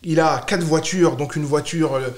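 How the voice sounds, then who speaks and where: A man with a fairly high voice talks in a roomy, echoing space. He is from France and chats informally as an interview guest, stressing points by repeating short phrases in bursts. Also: fairly even intonation, moderate pace. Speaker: podcast guest, startup founder. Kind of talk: casual, animated conversation.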